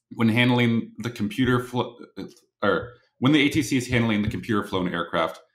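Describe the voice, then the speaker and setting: Lowish-voiced male interviewer, roomy sound. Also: a bit bright. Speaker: interviewer, host. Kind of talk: conversational interview questioning.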